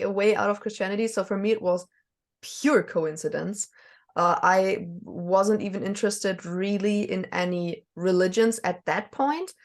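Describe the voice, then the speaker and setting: A woman from Germany with a German accent, talking as a podcast guest, hesitant with many fillers and small laughs. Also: mid-range voice. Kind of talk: casual conversation.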